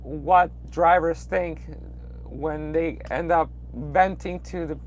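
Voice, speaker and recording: A young man from Peru talks in his Peruvian Spanish accent, slightly high-pitched and full of hesitations and restarts as he answers viewer comments. He is sitting in his truck cab at night, with a faint engine hum behind him. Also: fairly even intonation, slow. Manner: rambling, hesitant, with frequent fillers and restarts. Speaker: truck driver livestreaming. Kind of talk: casual livestream chat reading viewer comments.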